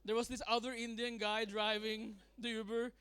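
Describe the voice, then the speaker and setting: A man in his thirties from Norway doing a deadpan stand-up set, with pauses for laughs. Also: sits high, clean tone, dry recording. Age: 30s. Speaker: stand-up comedian. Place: comedy club stage with a live audience.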